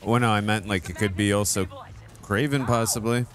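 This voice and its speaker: A man's voice: lowish voice, fairly even intonation, fairly quick. A man in his thirties hosting a casual reaction, musing aloud into a headset mic in a home studio. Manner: musing, laid-back, chuckling. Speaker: reaction host. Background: game audio playing.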